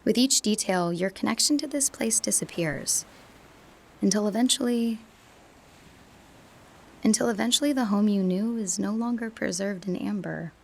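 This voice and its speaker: A woman's voice: medium pitch, normal speed, creaky, long pauses, natural voice. Woman acting wistful young woman, noisy background. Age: young adult. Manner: reflective, confessional. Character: wistful young woman back home from university, confiding in boyfriend. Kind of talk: intimate radio drama monologue.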